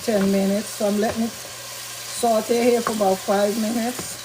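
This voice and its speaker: Woman, slightly raspy, chatty cooking host, explains as she goes, home kitchen, sizzling pan behind her. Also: fairly high pitch, little pitch movement, a little slow, background noise. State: slightly raspy from talking a lot. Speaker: vegan cooking channel host. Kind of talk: casual cooking demonstration. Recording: kitchen with loud sizzling food. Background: frying and sizzling sounds.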